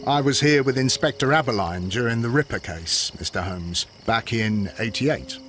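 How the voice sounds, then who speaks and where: Casting: male actor as respectful Victorian English constable, talkative and formal, very lively intonation, game dialogue over chirping night insects. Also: mid-range voice, normal speed, a little hiss or hum, lightly acted. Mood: earnest, assured. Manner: deferential, formal witness reporting. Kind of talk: scripted video game acting.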